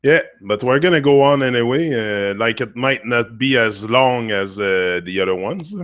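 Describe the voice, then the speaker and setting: A man who is losing his voice, hosting a casual spiritual monologue and talking in a rambling, halting way with frequent fillers and repeated phrases. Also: medium pitch, fairly bright tone, smooth, no echo, clean studio sound. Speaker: motivational channel host.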